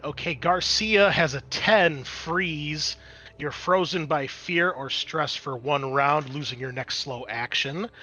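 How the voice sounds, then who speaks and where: A man's voice: fairly high pitch, sharp tone, dull audio. A man narrating a tabletop roleplaying game as game master in a casual, conversational way, swearing freely.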